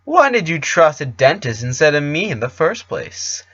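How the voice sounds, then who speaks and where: A man's voice: on the high side, very animated, normal speed, a bit bright, dry recording, lo-fi sound, voice pushed up. A man voicing a domineering, taunting female pony villain, a diva alter ego who goads and harangues in an audio story. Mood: taunting, scornful.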